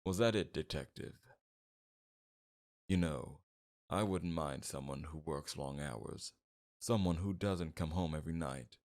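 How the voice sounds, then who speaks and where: Man acting as a deep, gravelly, flirtatious mob boss. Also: darkish tone. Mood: probing, flirtatious. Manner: teasing, suave, drawn-out flirtation with veiled threats. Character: flirtatious, smug, menacing male mob boss. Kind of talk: ASMR roleplay, scripted character acting.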